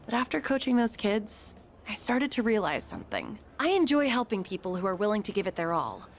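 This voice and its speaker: A woman's voice: mid-range voice, big pitch swings, fairly quick, faint music behind. A woman playing an upbeat, sporty teenage schoolgirl in a video game, chatty, frank and playful. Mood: determined. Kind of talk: English video game voice acting.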